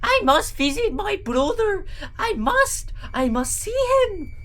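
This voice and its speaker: A woman voicing a manic, villainous cartoon man in an exaggerated mock accent, with a strained high voice and loud outbursts. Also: very animated, slow pace, exaggerated voice. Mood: panicked.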